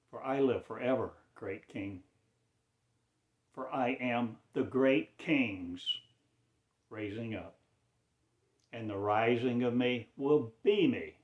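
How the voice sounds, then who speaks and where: Slow-declaiming man, dull lo-fi sound. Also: lowish voice, darkish tone, reverberant. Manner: slow, drawn-out, cryptic declaiming. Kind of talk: rambling spiritual monologue.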